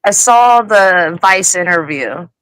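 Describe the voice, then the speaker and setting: A woman's voice: on the low side, expressive, a little slow, fairly bright tone, clear, resonant tone, dry recording, low-quality recording. A woman in casual conversation, speaking informally and swearing freely. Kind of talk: casual chat.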